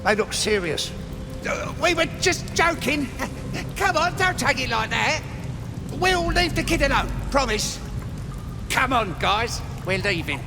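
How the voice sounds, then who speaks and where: An actor playing a jeering, bigoted colonist bully with a cowardly streak, in a video game. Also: male voice, extremely high pitch, big pitch swings, measured pace, full character voice. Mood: nervous backing down. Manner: sneering, mocking. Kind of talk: video game character acting. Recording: long reverb, music behind.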